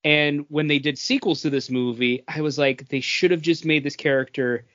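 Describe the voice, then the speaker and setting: A man's voice: on the high side, steady tone, moderate pace, fairly bright tone, dry recording, lo-fi sound. A man co-hosting a podcast in casual chat, rambling through his ideas. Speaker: podcast co-host.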